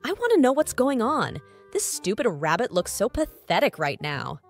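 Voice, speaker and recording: A performer voicing a childlike cartoon cat in a comic dub, squeaky, anxious, grumbling and snarky, with music behind. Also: wildly swooping pitch, normal speed. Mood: scornful. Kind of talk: comic dub character acting.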